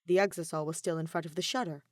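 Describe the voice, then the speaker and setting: A woman's voice: sits low, steady tone, fast, no echo, studio quality. A woman reading a story aloud as scripted narration, like an audiobook. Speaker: fan fiction audiobook reader.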